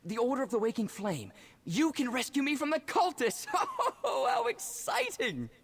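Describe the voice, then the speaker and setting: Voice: sits high, moderate pace, vocal fry, husky, heavily acted. Giddy, gushing fantasy-game superfan, wildly swooping voice. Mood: eager, excited. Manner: gushing, eager, stammering with excitement. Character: giddy, starstruck adoring fan of a legendary hero. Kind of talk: fantasy video game character acting.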